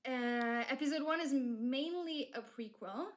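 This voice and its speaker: A woman whose first language is Polish chats loosely through a live stream. Her voice sits a bit high, and she keeps restarting her sentences and filling the gaps with ums. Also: a little slow. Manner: chatty, many fillers and restarts, laughs often. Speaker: streamer and fanfic writer. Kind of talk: casual live-stream chat.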